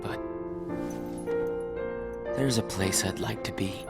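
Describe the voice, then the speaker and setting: A man playing a brooding, bitter young hooded rival in a video game cutscene, with a grave, deliberate delivery. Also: low voice, vocal fry, heavily acted. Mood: resigned. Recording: loud music behind the voice.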